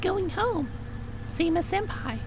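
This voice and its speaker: A woman's voice: very high voice, animated, takes it slowly, background noise, heavily acted. A woman voicing a shy, sweet, childlike anime girl devoted to her senpai in a visual novel, speaking timidly over music. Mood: sweet, coy. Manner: timid, hesitant, childlike delivery. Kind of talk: visual novel character voice acting. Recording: music playing behind the voice.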